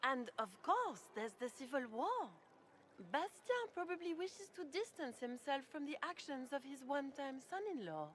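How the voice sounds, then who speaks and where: A woman's voice: high voice, very animated, normal speed, a bit bright, a little hiss or hum. An actress playing a curious, genteel noblewoman who gossips airily in a fantasy video game. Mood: intrigued, gossipy. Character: gossipy, curious high-society lady at court. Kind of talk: dramatic video game acting.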